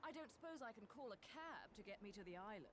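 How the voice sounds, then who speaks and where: A woman's voice: fairly high pitch, lively intonation, quick pace. An actress playing a cool, sardonic witch heroine in a video game cutscene. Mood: dry, wry. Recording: buried under loud game noise.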